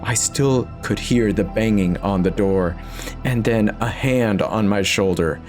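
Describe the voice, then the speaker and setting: Low-voiced man narrating horror over music. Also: measured pace, darkish tone. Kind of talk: dramatic first-person horror reading.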